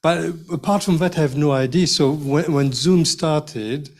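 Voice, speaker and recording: A man's voice: a little high, unhurried. A man speaks on a panel discussion in a hesitant way, often breaking off mid-thought. He laughs at himself easily as he explains things. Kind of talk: casual panel chat.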